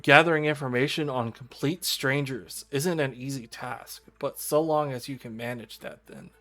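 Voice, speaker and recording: A man streaming a visual novel, reading the game text aloud in a formal, measured storytelling style. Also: mid-range voice, pushed and bright. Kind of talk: dramatic read-aloud narration of game text. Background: video game music.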